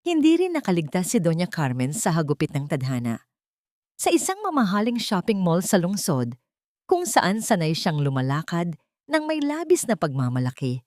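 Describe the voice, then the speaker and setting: A woman's voice: deep voice, darkish tone, no echo, clean studio sound. A woman reading a scripted dramatic story as narrator, in a solemn, melodramatic manner.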